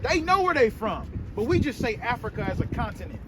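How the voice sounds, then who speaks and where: Male street teacher, very high pitch, emphatic and colloquial, heavy wind noise on the mic. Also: very animated, a little fast. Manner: emphatic, colloquial, keeps prompting the reader with "right, go ahead". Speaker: street teacher leading a scripture class. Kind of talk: loose spoken Bible teaching.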